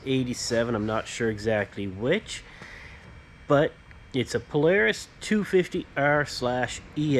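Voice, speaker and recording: A man hosting a casual workshop vlog, explaining hands-on work step by step in plain words. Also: mid-range voice. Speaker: host, powder coating tradesman. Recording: noisy workshop background.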